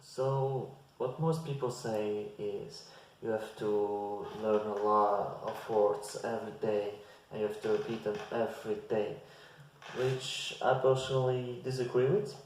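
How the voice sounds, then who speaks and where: Man, hesitant casual advice, low flat voice. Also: slight background noise. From Belgium. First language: Dutch. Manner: slow, hesitant, with frequent restarts and fillers. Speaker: language-learning vlogger. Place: bare indoor room. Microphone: fixed camera at desk distance. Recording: very echoey bare room.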